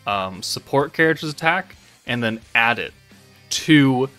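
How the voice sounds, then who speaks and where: A man's voice: mid-range voice, animated, unhurried, pushed and bright. Casual rambling man over background music. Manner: informal, rambling, thinking aloud. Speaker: gaming channel host. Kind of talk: casual game update commentary.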